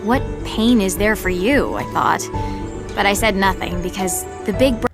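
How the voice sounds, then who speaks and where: A woman's voice: mid-range voice, normal speed, natural voice. A woman voicing a cheeky, judgmental seventeen-year-old in a game audio drama, questioning and sarcastic. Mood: wry narrating. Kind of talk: acted audio drama dialogue and narration. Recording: music behind the voice.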